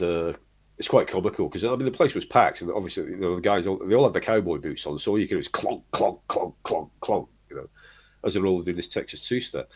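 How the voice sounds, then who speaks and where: Very deep, husky man telling stories. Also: a little mellow. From Manchester, England. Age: middle-aged. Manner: rambling storyteller, restarts often, chuckles. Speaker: guest, band singer. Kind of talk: casual interview chat.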